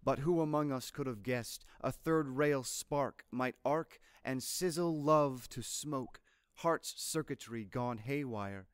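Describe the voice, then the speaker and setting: A man reading scripted poetry aloud in a measured, deliberate, literary manner. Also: medium pitch, smooth, dry recording, clean studio sound. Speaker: poetry reader.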